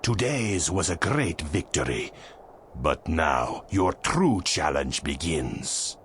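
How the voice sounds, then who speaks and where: A solemn, commanding sorcerer with a low, slow voice, guiding a hero in a fantasy game. He is formal and portentous, with clear noise and ambience behind him. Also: big pitch swings, fairly bright tone, heavily acted. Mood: grave, commanding. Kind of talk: fantasy game cutscene acting.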